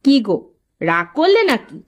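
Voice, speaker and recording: Woman acting a shrewd village wife, higher lively voice. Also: moderate pace, no room sound, studio quality. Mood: caring.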